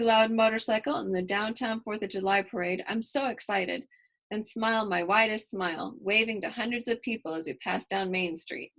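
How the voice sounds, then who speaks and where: A woman's voice: mid-range voice, a bit bright, poor audio. A woman reading a children's story expressively, with chatty asides to young listeners. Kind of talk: expressive picture-book read-aloud with chatty asides to children.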